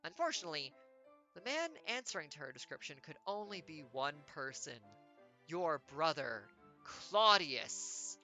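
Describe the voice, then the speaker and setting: A woman's voice: low-pitched, very animated, unhurried, sharp tone, soft background music. A woman streamer reading a choose-your-own-adventure game aloud, with playful, wry jokey asides.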